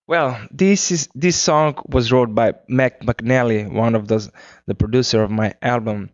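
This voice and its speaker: A Cape Verdean man whose first language is Creole, answering interview questions in English with unhurried speech, searching for words. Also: mid-range voice, dry recording. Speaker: guest singer-songwriter. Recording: muffled 2009 radio interview.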